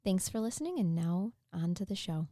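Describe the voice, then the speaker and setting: A woman's voice: fairly low pitch, animated, a little fast, warm tone. A woman hosting a podcast, talking in a bubbly, chatty way that moves between ad reads and banter with her co-host. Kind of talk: casual chat.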